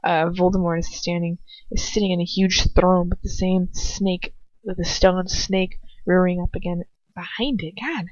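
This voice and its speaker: A woman's voice: fairly low pitch, steady tone, moderate pace, darkish tone. A woman reading fan fiction aloud as an amateur narrator, informal and often breaking off into casual asides. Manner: informal, self-interrupting. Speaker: amateur audiobook reader. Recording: roomy, muffled lo-fi home recording.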